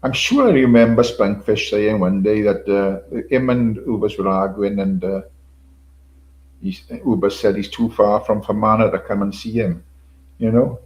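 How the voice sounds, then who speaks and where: A man's voice: fairly low pitch, steady tone, moderate pace, muffled recording. An older man hosting a casual livestream, rambling, repeating himself, swearing casually and chuckling to his viewers. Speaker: livestream host. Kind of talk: casual solo livestream chat.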